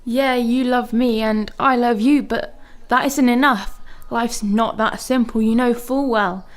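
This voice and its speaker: A woman's voice: on the high side, fairly even intonation, measured pace, close to an everyday voice. An actress in a radio drama playing a quirky, guarded, world-weary young woman with a reproachful way of talking. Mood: reproachful, sad.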